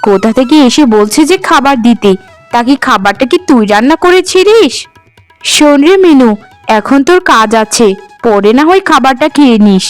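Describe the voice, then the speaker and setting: A woman voicing a scolding, sharp-tongued middle-aged village mother in a cartoon, with a high voice. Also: animated, moderate pace, soft background music. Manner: nagging, scolding. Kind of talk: cartoon voice acting.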